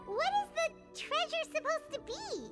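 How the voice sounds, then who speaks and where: An excitable little fairy companion from a video game. The voice is squeaky and childlike, pitched extremely high and leaping all over the place. Loud music plays behind it. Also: female voice, unhurried, exaggerated voice. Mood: puzzled. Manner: exclaiming, questioning.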